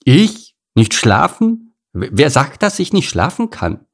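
A man's voice: fairly high pitch, wildly swooping pitch, slow, pitched above the performer's own voice, exaggerated voice. A man voicing a melodramatic, indignant female cow who whines and complains shrilly in a storybook. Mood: evasive, reluctant. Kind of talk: storybook character voice.

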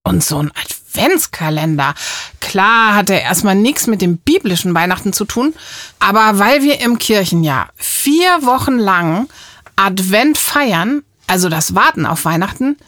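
Woman, medium pitch, children's audio conversation, simple explanations, thoughtful pauses, dry studio sound. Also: big pitch swings, fairly bright tone, no echo. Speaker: presenter talking with a child character.

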